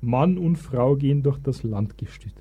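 An actor playing an eager, pedantic Bavarian husband who lectures as a self-styled expert in a comic radio play. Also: male voice, mid-range voice, expressive, moderate pace, mellow, slightly rough, muffled recording. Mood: pompous lecturing. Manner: pompous, long-winded.

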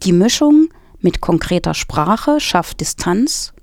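A woman's voice: lowish voice, big pitch swings, slow pace, no echo. Woman reading scripted items, dry sound. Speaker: quote reader and co-presenter. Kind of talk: scripted narration, reading quotations.

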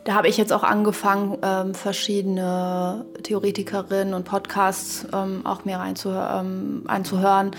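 Halting podcast co-host, fairly even female-sounding voice, woman. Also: mid-range voice, faint music behind. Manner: halting, hesitant, thinking aloud with academic vocabulary. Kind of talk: casual, reflective podcast discussion.

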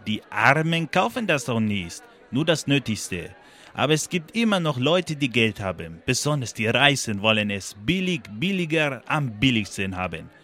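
Man playing an indignant talking banana, bright and animated. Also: mid-range voice, normal speed. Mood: lecturing, outraged. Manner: emphatic, lecturing, repeats words for effect. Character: indignant, worldly-wise talking banana from Colombia. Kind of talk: German political radio play.

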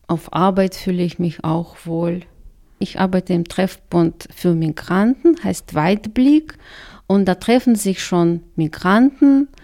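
A woman's voice: lowish voice, dark tone. A middle-aged woman with a Russian accent, answering radio interview questions carefully and often repeating words for emphasis. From Kazakhstan. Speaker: interviewee, migrant community worker.

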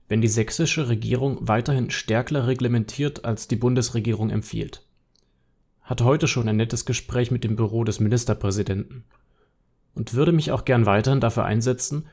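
A man acts out a defiant, worried social media user in a radio play collage. The voice sits at a middle pitch and argues in a conversational tone, like written posts spoken aloud. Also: normal speed, gravelly, a little mellow. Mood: critical, defiant. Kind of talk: scripted radio collage reading of online posts.

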